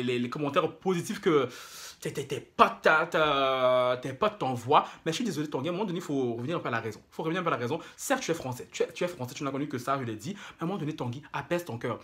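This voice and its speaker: A man's voice: fairly high pitch, a bit bright. A man delivering an opinionated, casual monologue to his viewers, indignant and mocking, repeating phrases for emphasis. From Africa. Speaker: YouTube commentator.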